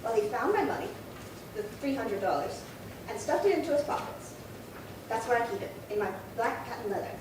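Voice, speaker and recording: Echoey, high-pitched fussy old lady played by an actress. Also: animated, a little fast, natural voice. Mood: chatty, flustered. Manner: fussy, rambling, old-fashioned phrasing. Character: prim, chatty, indignant old woman of seventy-eight reporting burglary. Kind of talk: 1950s radio crime drama acting. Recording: very echoey, distant, noisy room.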